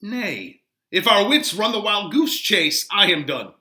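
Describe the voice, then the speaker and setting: A man reading dramatically as witty, boisterous young gentlemen trading teasing, emphatic Shakespearean banter. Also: sits high, very animated, measured pace, voice pushed up, exaggerated voice. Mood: playful, boastful.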